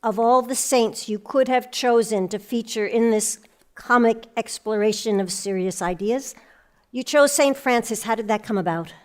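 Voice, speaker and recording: High-voiced woman hosting an arts programme. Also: moderate pace, a little mellow. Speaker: host and interviewer. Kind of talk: scripted credits and interview hosting.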